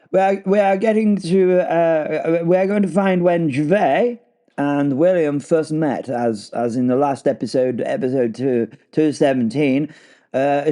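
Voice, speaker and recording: A man's voice: on the high side, mellow, close to an everyday voice. A man playing a flustered, bumbling, polite duke, stammering and apologetic as he introduces a podcast drama. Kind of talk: rambling in-character podcast introduction.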